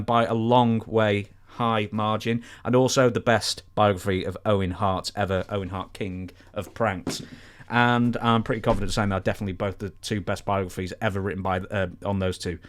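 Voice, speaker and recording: A man's voice: on the low side, fairly even intonation, moderate pace. A British man co-hosting a podcast, chatting and reading plugs in a rambling way with dry, self-deprecating humour. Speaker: podcast co-host. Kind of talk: casual chat, reading plugs.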